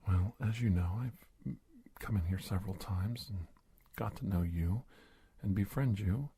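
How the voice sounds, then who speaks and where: A man playing a polite, nervous middle-aged investigator in an ASMR roleplay, soft-spoken and halting, often slipping into a whisper. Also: slight background noise. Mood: confiding. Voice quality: husky, breathy, gravelly low voice. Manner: halting, hesitant, courteous, deliberate phrasing.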